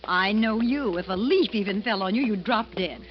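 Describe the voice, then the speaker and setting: An actress playing a headstrong, self-possessed woman who is brisk and bossy, in an old-time radio drama. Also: mid-range voice, expressive, lightly acted. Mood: firm, bossy. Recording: dull, noisy old radio recording.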